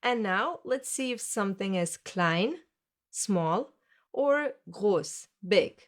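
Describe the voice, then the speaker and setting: A woman's voice: medium pitch, lively intonation, slow pace. A woman teaching a recorded language lesson, speaking carefully and repeating each word for learners.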